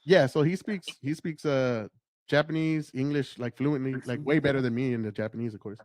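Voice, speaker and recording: A man's voice: medium pitch, brisk, a little mellow, dry recording. A man, a podcast guest talking loosely and profanely, full of dude and bro. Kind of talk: casual podcast chat.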